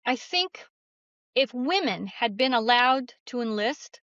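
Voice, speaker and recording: Higher-pitched woman, slightly dull recording. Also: lively intonation, slow, fairly bright tone, dry recording. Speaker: guest author being interviewed. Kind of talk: conversational interview answers, storytelling.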